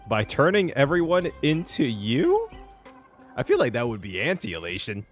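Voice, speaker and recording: A man's voice: mid-range voice, huge pitch swings, moderate pace, music in the background. A man giving casual, exclamatory reaction commentary while playing a video game, swearing freely. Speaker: gaming reaction streamer.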